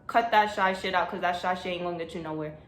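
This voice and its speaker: A woman's voice: on the low side, steady tone, quick pace. A young woman talks straight into her phone camera, chatty and blunt. Her voice bounces off the walls of an echoey room. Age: young adult. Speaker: vlogger. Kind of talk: casual advice vlog.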